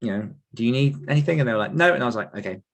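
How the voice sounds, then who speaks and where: A man's voice: mid-range voice, animated, rapid-fire, vocal fry. A British man telling casual personal anecdotes as an interview guest, chatty and self-effacing, often thinking aloud. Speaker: podcast guest and author.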